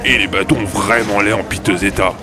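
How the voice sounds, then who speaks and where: A man's voice: fairly low pitch, steady tone, a little fast, natural voice. A man voicing a grouchy, sceptical centaur companion in a comic audio drama, blunt and complaining in manner. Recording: roomy echo, music behind.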